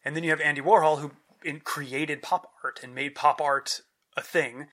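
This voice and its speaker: A man's voice: fairly high pitch, fairly even intonation, normal speed, pushed and bright. A man casually recapping a family trip in chat with his family, eagerly explaining what they saw. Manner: enthusiastic, explains things to the listener.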